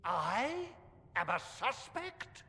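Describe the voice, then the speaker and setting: A man's voice: high-pitched, sing-song, extremely slow, pushed and bright, heavily acted. A man playing a pompous, hostile clergy official in a fantasy video game, imperious and accusatory. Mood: suspicious, indignant. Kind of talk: dramatic video game acting. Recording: reverberant stone hall, music underneath.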